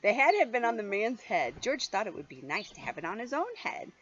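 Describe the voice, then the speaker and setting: A mature woman reading a picture book aloud to a child in an animated, playful way, with chatty asides. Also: mid-range voice, a little fast, slightly rough. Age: middle-aged or older. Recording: dull lo-fi home recording, faint noise.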